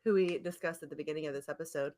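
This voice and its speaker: A woman's voice: sits low, steady tone, very fast, a little mellow. A woman co-hosting a podcast in casual chat, effusive and giggly in her delivery. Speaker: podcast co-host. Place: remote internet call.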